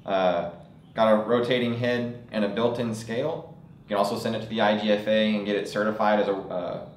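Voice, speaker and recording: A man's voice: fairly low pitch, echoey room, a little hiss or hum. A young man presenting fishing products at a table in a studio, talking casually with frequent fillers. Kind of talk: product demonstration.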